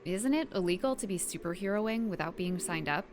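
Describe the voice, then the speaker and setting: Casting: actress as reluctant young female superhero, casual, lowish animated voice, audio drama. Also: a little fast, a little hiss or hum, lightly acted. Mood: hesitant, agreeable.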